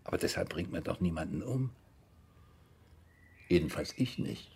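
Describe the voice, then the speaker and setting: An actor in a radio drama playing a defensive, self-pitying older man, evasive and dismissive, with a husky, gravelly voice. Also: deep voice, expressive, moderate pace, a little mellow, full character voice. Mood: defensive, reflective. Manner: evasive, dismissive, matter-of-fact. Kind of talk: German radio crime drama acting, interview monologue.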